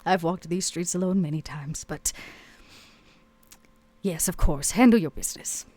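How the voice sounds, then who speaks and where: A woman playing a weary, imperious, hard-bitten boss in a tabletop game, speaking in a measured, formal way. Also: fairly low pitch, mellow, no room sound. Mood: resigned. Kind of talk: improvised tabletop roleplay.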